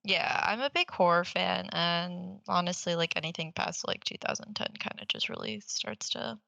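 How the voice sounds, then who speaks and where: Female podcast host, slightly low pitch, close dry sound, loose conversational movie chat. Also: moderate pace, creaky, sharp tone, no room sound. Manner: informal, rambling, swears casually. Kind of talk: casual chat, movie review.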